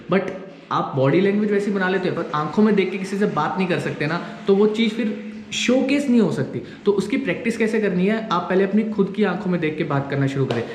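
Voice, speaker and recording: A young man with an Indian accent giving informal instructional tips in a casual vlog, switching between two languages. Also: a little high. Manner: informal, explanatory. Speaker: vlogger giving acting tips. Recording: echoey room with background noise.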